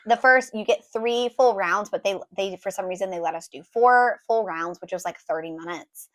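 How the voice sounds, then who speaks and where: A woman in her thirties, hoarse as her voice recovers, chatting casually through a family travel recap with lots of ums and likes. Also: mid-range voice, normal speed, fairly bright tone. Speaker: travel vlogger, mother of four.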